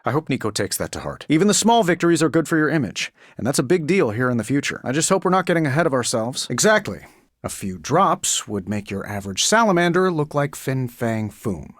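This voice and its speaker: An actor playing a cocky, quippy superhero inventor in a video game, glib and sarcastic. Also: male voice, medium pitch, sing-song, a little fast, no echo, studio quality. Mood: confident, glib. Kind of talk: video game voice acting, scripted quips.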